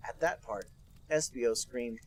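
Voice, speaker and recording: A man co-hosting a comedy podcast, reading a fanfic aloud in a deadpan way while holding back laughter. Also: medium pitch, a little slow, no room sound.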